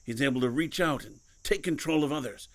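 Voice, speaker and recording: A man playing a secretive, commanding, guilt-burdened mentor in an audio drama, speaking deliberately and cryptically. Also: mid-range voice, fairly bright tone, close to an everyday voice. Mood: grave, explanatory. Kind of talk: audio fiction podcast acting.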